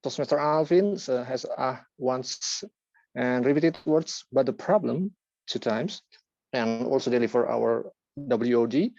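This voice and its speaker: A man reading out a filler-word tally at a club meeting, in a list-like, halting way with frequent "uh". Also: mid-range voice, creaky, a little mellow, no room sound. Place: online video meeting. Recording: dull lo-fi call audio.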